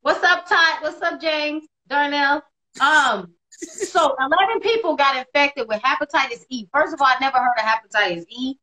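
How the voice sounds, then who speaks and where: A woman in her thirties co-hosting a casual live video chat from home, animated and quick to laugh, on a phone or laptop mic. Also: high voice, low-quality recording. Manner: animated, laughs often, bright delivery. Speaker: co-host. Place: indoors at home. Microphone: phone or laptop camera on video call.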